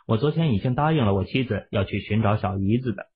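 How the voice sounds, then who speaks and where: A man's voice: fairly low pitch, animated, fast, husky. Man playing a polite, slippery young hero, phone-like sound. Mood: reluctant, evasive. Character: polite, wry, evasive young male hero. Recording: muffled, phone-like narrow sound.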